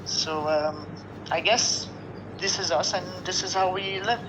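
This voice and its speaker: A man's voice: sits high, fairly even intonation, a little slow. A Lebanese man who runs a restaurant, answering a news interview in an earnest, weary way with short restarting phrases. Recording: dull lo-fi line with noise.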